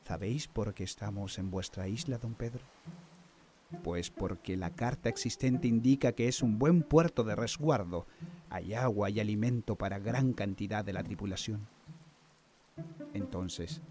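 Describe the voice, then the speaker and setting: An actor playing a courteous Spanish naval explorer of centuries past in a radio drama, with a formal, declamatory delivery. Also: male voice, mid-range voice, measured pace, a little mellow, natural voice. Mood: earnest, explanatory.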